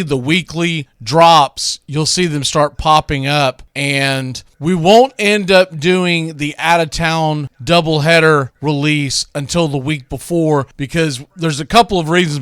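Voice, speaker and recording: A man's voice: fairly high pitch, fairly bright tone, clear, resonant tone, dry recording, clean studio sound. A man hosting a sports radio show, talking casually and conversationally in a folksy way. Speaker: radio show host and play-by-play announcer.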